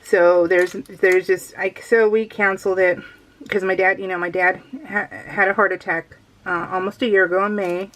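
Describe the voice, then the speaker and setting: A middle-aged woman chatting casually and informally as she narrates a craft vlog, telling stories in an animated, giggly way. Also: mid-range voice, normal speed, low-quality recording. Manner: giggly, animated storytelling with quoted exchanges. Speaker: craft vlogger.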